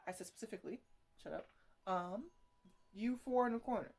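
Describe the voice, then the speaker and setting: A woman's voice: medium pitch, fairly quick, darkish tone, natural voice. A woman playing a teasing, slangy botanist who chats to her plants in a casual ASMR roleplay. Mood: teasing, scolding. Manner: rambling, bantering, slangy.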